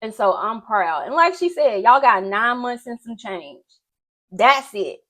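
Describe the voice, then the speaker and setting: A woman's voice: a little high, lively intonation, measured pace, a bit bright. A young woman vlogger chatting casually and gushing in informal slang while she does her makeup. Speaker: beauty and wrestling vlogger.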